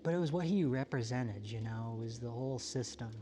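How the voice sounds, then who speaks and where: Halting, world-weary man acting as a solitary male survivor. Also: medium pitch, dark tone, slight background noise, lightly acted. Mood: weary, reflective. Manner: halting, reflective, rambling, self-questioning. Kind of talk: found-footage horror monologue, confessional and conversational.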